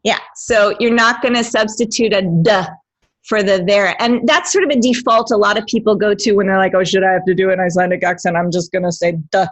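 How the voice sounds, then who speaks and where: A woman's voice: mid-range voice, steady tone, brisk. A woman hosting a dialect webinar, enthusiastic and bubbly as she explains sounds. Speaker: dialect coach and webinar host. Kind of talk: teaching webinar.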